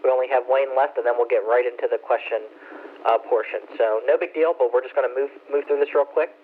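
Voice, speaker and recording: A man's voice: medium pitch, fairly even intonation, fast talker, slight background noise. A man moderating an amateur radio net in an informal, courteous, unscripted way. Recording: muffled two-way ham radio transmission.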